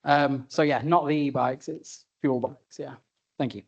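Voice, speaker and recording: A man's voice: medium pitch, steady tone, measured pace, breathy edge, highs cut off. A man speaking as a councillor at a council meeting, asking a question in a plain, informal way. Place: council chamber.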